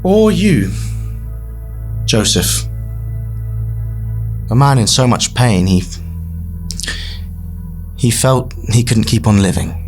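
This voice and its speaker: Man playing a slow, solemn, persuasive cult-like leader. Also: medium pitch, very animated, darkish tone, soft background music. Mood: intimate, grave. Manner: grandiose, measured, with dramatic pauses. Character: solemn, persuasive male leader of a mysterious afterlife program. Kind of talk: audio drama speech.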